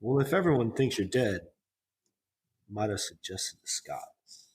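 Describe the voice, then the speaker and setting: A cocky male rebel pretty boy in a tabletop space game, played by a man, fairly low in pitch. He sounds fastidious and sure of himself, with faint noise behind him. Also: lively intonation, a little mellow.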